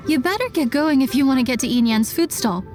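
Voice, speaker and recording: A woman's voice: high voice, animated, quick pace, over music. A woman voicing a friendly, helpful young festival organizer in a fantasy video game, chatty and welcoming. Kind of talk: video game character dialogue.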